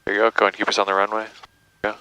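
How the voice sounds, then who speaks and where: A man's voice: fairly low pitch, completely flat delivery, rapid-fire, sharp tone, clean tone, no room sound, rough recording. A man working as a flight instructor, giving encouraging step-by-step instructions and often checking in, over an aviation headset. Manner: encouraging, step-by-step coaching with tag questions. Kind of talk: hands-on cockpit instruction. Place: small airplane cockpit in flight and taxiing.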